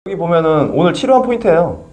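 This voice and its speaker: A man, a pain clinic doctor, explaining neck muscles and exercises to a patient in a relaxed, plain-spoken way. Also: a little high, racing, a little hiss or hum. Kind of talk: explanatory health talk with patient.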